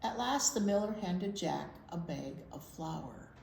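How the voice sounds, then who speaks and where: A woman's voice: lowish voice, expressive, a little slow, darkish tone. A woman reading a picture book aloud to young children, explaining simply and asking them questions. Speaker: children's library storytime reader. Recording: roomy echo with clear background noise.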